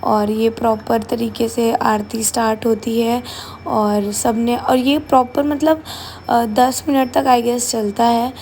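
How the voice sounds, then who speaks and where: A young woman, slightly hoarse, casually narrating the voice-over of her vlog, mixing Hindi and English. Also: on the high side, level tone, takes it slowly, a little mellow, a little hiss or hum. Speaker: vlogger. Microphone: voice-over recorded separately.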